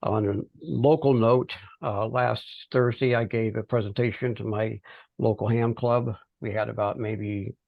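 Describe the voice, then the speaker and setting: A middle-aged man giving an informal progress report on a teleconference, speaking haltingly with pauses mid-phrase. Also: mid-range voice, steady tone, creaky, no room sound. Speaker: amateur radio project volunteer. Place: remote teleconference call. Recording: dull, band-limited teleconference audio.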